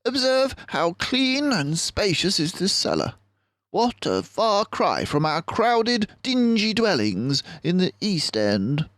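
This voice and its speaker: A keen, confident little mouse detective from a children's storybook, acted out by a male reader. The voice sits far higher than a man's usual talk and pronounces each remark with brisk, theatrical precision. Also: huge pitch swings, a bit bright, dry recording, heavily acted. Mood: observant, brisk.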